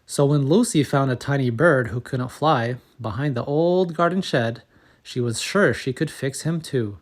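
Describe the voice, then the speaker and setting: A man narrating a children's storybook as host, in a measured, expressive read-aloud manner. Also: medium pitch. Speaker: storybook reader and channel host.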